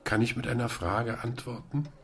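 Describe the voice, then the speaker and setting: A man playing a calm, resolute volunteer organiser in a German radio play, with a deliberate, questioning delivery. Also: mid-range voice, natural voice. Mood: probing, calm.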